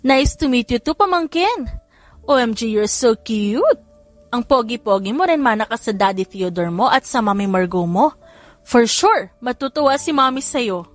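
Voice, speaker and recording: Woman playing a high-pitched, bubbly young aunt. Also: lively intonation, moderate pace, no echo, soft background music, lightly acted. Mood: gushing. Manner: gushing, exclaiming, mixes English into Tagalog. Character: bubbly, gushing young aunt, excitable and playful. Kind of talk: audiobook character voice.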